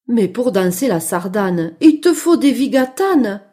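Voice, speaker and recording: Woman playing a friendly braying donkey, measured pace. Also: a little high, big pitch swings, mellow, a little hiss or hum. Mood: encouraging, instructive. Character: friendly, helpful male Catalan donkey who teaches dancing.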